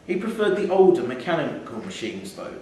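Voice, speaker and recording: A man reading a short story aloud in a measured, wry storytelling manner, live in a theatre. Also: a little high, sing-song, dark tone. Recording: echoey theatre hall, distant mic, faint noise.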